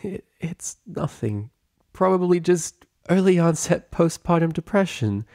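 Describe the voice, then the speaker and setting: A man voicing a gentle, emotional young pony mother, soft and hesitant, in a story reading. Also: fairly high pitch, lively intonation, measured pace, voice pushed up. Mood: hesitant, shaky.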